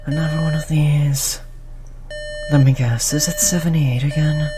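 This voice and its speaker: A performer of unclear sex playing a cold, condescending researcher who disciplines a captive test subject in a measured, mock-polite way, in an audio roleplay. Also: mid-range voice, fairly even intonation, close to an everyday voice. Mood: weary, businesslike. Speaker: scientist running human experiments. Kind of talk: scripted audio roleplay drama.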